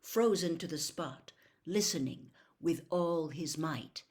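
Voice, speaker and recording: A woman storyteller reading a novel aloud in dramatic, expressive narration, building suspense with hushed emphasis. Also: sits low, slow pace.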